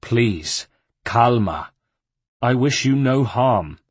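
Guy doing an earnest patient adventurer, firm but polite, bit husky, close dry sound, storybook. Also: mid-range voice, animated, drawn-out words, no echo, heavily acted. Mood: urgent, reassuring. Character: earnest, patient male Italian adventurer seeking information.

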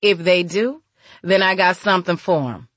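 A woman's voice: fairly low pitch, normal speed, fairly bright tone, no echo. Streetwise older woman acted by a female reader, icy and stern, slangy audiobook character, dry close recording. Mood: defiant. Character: cold, tough, streetwise old woman.

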